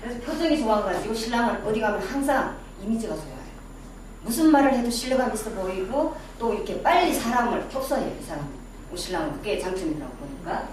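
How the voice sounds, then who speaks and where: Chatty lecturer with a Daegu accent. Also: female voice, on the high side, lively intonation, fast. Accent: Daegu Gyeongsang dialect. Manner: chatty, quizzes the audience, self-deprecating asides. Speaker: image-making lecturer. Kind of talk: casual classroom lecture. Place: lecture room with a small audience. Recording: very echoey room, distant mic, background noise.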